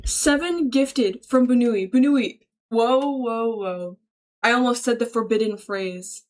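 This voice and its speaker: Female streamer, higher-pitched, informal and slangy, chummily thanking and answering her chat. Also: slow, low-quality recording. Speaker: livestreamer greeting chat. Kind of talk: casual livestream chat, reading and answering viewer messages aloud.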